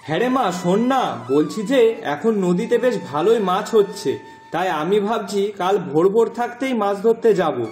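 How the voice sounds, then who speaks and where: A man's voice: high-pitched, lively intonation, normal speed. A man voicing a kindly, reassuring middle-aged village fisherman father in a cartoon moral story, speaking affectionately. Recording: echoey voice track with music behind.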